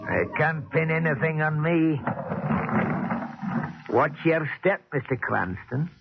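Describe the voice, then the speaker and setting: A man's voice: a little high, lively intonation, takes it slowly, gravelly, heavily acted. A man playing a bitter, defiant old elevator operator in 1940s American radio drama. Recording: noisy, muffled old 1940s radio recording.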